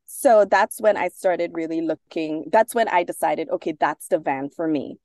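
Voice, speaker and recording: A woman speaks with a lowish, mellow voice. She talks as an interview guest, thoughtful and unhurried, with fillers and small restarts. The sound is dry and close. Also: dry recording. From Trinidad. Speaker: guest author. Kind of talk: casual interview talk.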